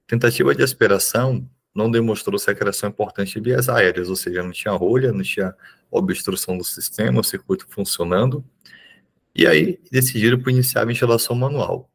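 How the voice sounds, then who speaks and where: A young man with a Bahian Brazilian accent, explaining exam questions informally as a teacher, in a halting way with frequent checking tags. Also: on the low side, slightly rough. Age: young adult. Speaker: neurology resident and exam prep teacher. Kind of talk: informal exam review lesson.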